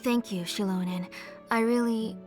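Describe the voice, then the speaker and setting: An actress playing a gracious, devoted auntie in a video game, speaking gently, formally and earnestly. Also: female voice, on the high side, steady tone, a little slow, music in the background, natural voice. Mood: earnest, accepting.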